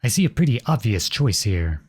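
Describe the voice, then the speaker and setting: Man acting a low, husky outlaw prince. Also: big pitch swings, fairly quick, a little mellow, no echo, clean studio sound. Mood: urgent, resolute. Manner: earnest, dramatic, addresses unseen listeners directly. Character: outlawed young prince, protective, noble, devoted to his beloved. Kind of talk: ASMR audio roleplay acting. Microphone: close studio-style microphone, dry.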